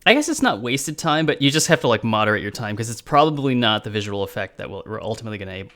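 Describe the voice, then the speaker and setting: A man co-hosting a game development stream, talking through ideas in a rambling, halting way on a video call from a home room. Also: fairly low pitch, big pitch swings, fairly bright tone. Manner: rambling, halting restarts, laughs as he talks. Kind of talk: casual chat during a dev stream.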